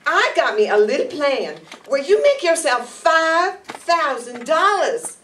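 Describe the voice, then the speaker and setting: High, lilting femme fatale, acted by a woman. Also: big pitch swings, takes it slowly, fairly bright tone, heavily acted. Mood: coy, flirty. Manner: coy, sugary. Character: scheming flirtatious Southern blonde femme fatale. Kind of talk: 1950s noir radio acting. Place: staged reading in a community hall. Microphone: stand microphone, heard from a far camera. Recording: distant room mic, some noise.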